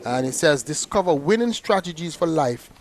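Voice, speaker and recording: A man with a slightly raised pitch calls a cricket match live, chatty and loose, stumbling into repeats like "they, they" as he goes. His talk flows like relaxed booth commentary. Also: normal speed. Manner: chatty, often repeats words when restarting.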